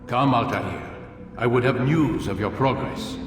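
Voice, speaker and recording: An actor playing a stern, cryptic old master of assassins in a video game, measured, commanding and condescending. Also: male voice, mid-range voice, slight background noise, lightly acted. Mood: calm, probing. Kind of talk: dramatic video game acting. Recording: distant, cavernous echo, music behind.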